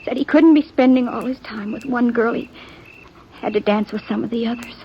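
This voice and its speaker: An actress playing a shy, earnest young American country girl in a 1950s radio Western, speaking in a halting, plaintive way. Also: a little high, natural voice. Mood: hurt, downcast. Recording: dull lo-fi vintage radio, background noise.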